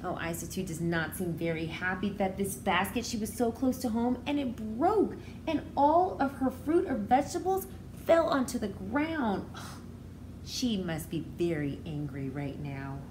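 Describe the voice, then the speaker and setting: A woman teacher reading a story aloud to young children, expressively, pausing to explain things in simple words. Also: mid-range voice, animated, a little mellow, slight background noise, poor audio. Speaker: preschool educator.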